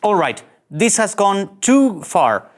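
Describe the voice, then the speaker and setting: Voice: medium pitch, very animated, takes it slowly. An actor playing a flustered, earnest young male starship officer in a sci-fi cartoon table read, nervous and indignant. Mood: resolute.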